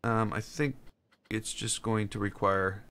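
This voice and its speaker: A man with a bright, edged tone talks through his game stream in a loose, halting way. His voice has a gravelly fry at the bottom. Keyboard typing and clicks sound behind him. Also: on the low side, steady tone. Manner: rambling, halting, answers chat between tasks. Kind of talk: casual live-stream chat while building.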